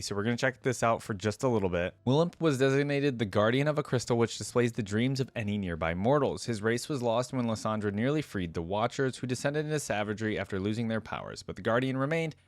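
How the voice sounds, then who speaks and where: A man's voice: mid-range voice, animated, quick pace, hardly any pauses, no echo. A man hosting a casual reaction video, reading reference text aloud and adding easygoing asides of his own. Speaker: host of a game lore reaction channel.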